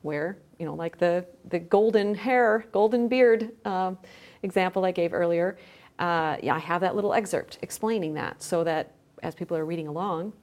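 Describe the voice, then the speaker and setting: A woman's voice: lowish voice, normal speed, a little mellow. A woman speaking as a guest in a conversational interview, explaining her work like a scholar. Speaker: podcast guest, scholar and journal editor.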